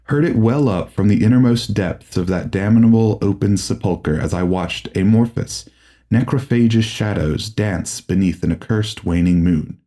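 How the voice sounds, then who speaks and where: A man reading a horror audiobook as a grave, formal, deliberate and dramatic narrator. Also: on the low side, dark tone.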